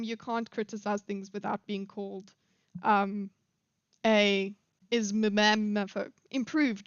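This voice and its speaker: A South African woman talks through her live stream commentary in a loose, rambling way, full of fillers and restarts. Her voice sits fairly high and sounds smooth and clear. The recording is dry and slightly dull, like a lo-fi home mic. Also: fairly bright tone, no echo. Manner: rambling, many fillers and restarts, quick laughs.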